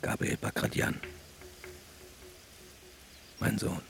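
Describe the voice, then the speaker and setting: An actor playing an older male priest who consoles a grieving man in a hushed, fatherly gravelly whisper. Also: slow, faint music behind, lightly acted. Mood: consoling. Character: old male Armenian priest, solemn and consoling. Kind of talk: radio play acting.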